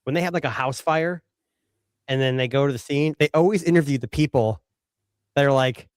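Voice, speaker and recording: A man guests on a tech podcast in an easygoing way. His voice is mid-pitched. He is picked up dry and close. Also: brisk, dry recording. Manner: easygoing, laughs often. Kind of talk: casual panel chat.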